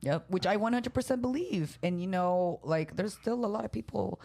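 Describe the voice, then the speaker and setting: A woman's voice: medium pitch, normal speed, gravelly. A woman raised in San Jose, chatting casually on a podcast, blunt and swearing freely. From raised in San Jose, California. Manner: blunt and swearing, tells stories with lively quoted voices. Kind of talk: casual chat.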